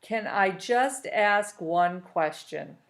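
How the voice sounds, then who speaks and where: A woman's voice: mid-range voice, expressive, extremely slow, creaky, heavily acted. A woman voicing a curious schoolboy in a storybook, tentative and earnest, questioning yet eager to get away. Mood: curious, hesitant.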